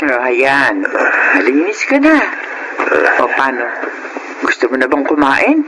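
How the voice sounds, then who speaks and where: A woman's voice: very deep voice, big pitch swings, a little slow, loud music behind the voice, full character voice, poor audio. An actress playing a hurt, plaintive middle-aged mother in a Filipino television melodrama. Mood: caring. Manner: plaintive, emotional. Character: hurt, self-pitying mother caring for sick husband.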